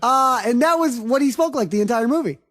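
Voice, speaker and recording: A man's voice: very high-pitched, expressive, brisk, a bit bright. A man co-hosting a casual movie review podcast, joking and swearing freely as he talks. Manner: profane, jokey, breaks into mock accents. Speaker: podcast host.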